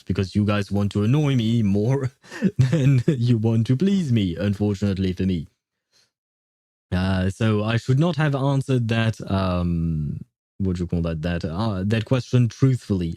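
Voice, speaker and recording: A man's voice: lowish voice, dark tone, no room sound, clean studio sound. A man hosting a casual gaming livestream, rambling and interrupting himself as he chats and grumbles playfully with viewers. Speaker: livestream host, gaming streamer.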